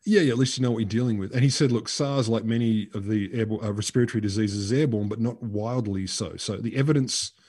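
A man's voice: on the low side, fast, hardly any pauses, darkish tone, slightly rough. A middle-aged Australian man, co-host of a podcast, telling a science story in emphatic casual chat over a headset from a room at home. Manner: storytelling, emphatic, gestures while talking. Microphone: headset on a video call.

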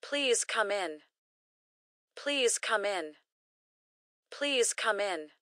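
Slow, carefully enunciating woman. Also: medium pitch, lively intonation, bright, forceful tone, dry recording, clean studio sound. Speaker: English phrase reader. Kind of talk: slow repeated phrase drills.

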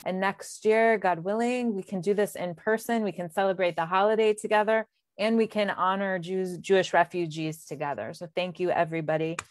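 Woman hosting a zoom event, really clear voice, dry close sound, thanking people. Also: medium pitch, fairly even intonation, a little fast, dry recording. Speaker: host, executive director. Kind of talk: closing remarks at an online event. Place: indoors on a video call. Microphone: earphone headset mic.

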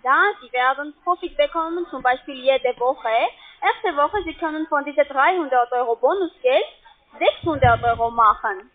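High-voiced foreign-accented woman on phone. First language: not German. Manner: halting, broken grammar. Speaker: telephone sales agent. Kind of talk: phone sales pitch. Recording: tinny, muffled phone line.

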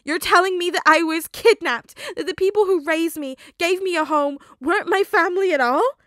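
A woman's voice: a little high, a little fast, darkish tone, no room sound, clean studio sound, close to an everyday voice. Upset teenage boy, tearful and stubborn; a woman reads him in her own voice. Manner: tearful, pleading, sniffling.

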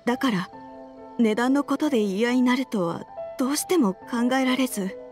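A woman playing an earnest, troubled wife and mother in a video game, speaking politely and with measured care. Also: on the high side, darkish tone, faint music behind, natural voice. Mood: regretful.

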